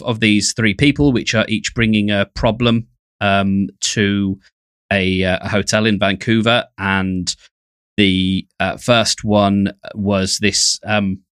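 A man co-hosting a podcast in casual chat, with halting, hesitant phrasing. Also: fairly low pitch, a bit bright, clear, resonant tone, no echo, clean studio sound. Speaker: podcast co-host.

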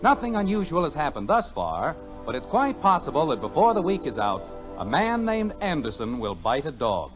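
Vintage radio announcer: folksy, wry, lively inflection, music behind, dull broadcast sound. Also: male voice, on the high side, moderate pace. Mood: wry, measured. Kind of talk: scripted vintage radio narration. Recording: muffled old radio broadcast recording.

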